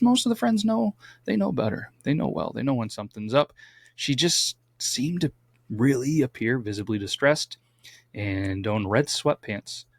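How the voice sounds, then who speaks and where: A man's voice: mid-range voice, vocal fry, no echo. A man co-hosting a casual true-crime podcast, reading from his notes with stumbles and wry asides. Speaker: podcast co-host.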